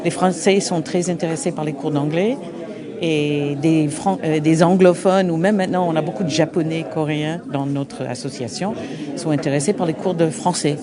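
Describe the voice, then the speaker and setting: A middle-aged woman, an English speaker, answering interview questions at an open day, halting and searching for words with frequent restarts. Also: sits low, steady tone. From United States. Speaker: association representative. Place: busy open-day event. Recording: crowd noise behind. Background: crowd chatter.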